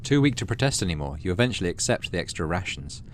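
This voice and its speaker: Male game-channel host, low voice, animated story reading with offhand commentary, noticeable background noise. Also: fast. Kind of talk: dramatic read-aloud narration of a game story with casual asides. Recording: clearly audible background noise.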